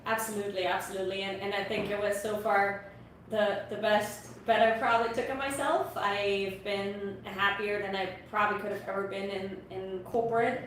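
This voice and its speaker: A woman's voice: mid-range voice, steady tone, moderate pace, fairly bright tone. A young woman from Austria, German her first language, speaks earnestly as an interview guest, often restarting her phrases. She sits far from the mic in a very echoey space with faint background noise. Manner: earnest, repeats words while starting sentences. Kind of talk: casual interview talk.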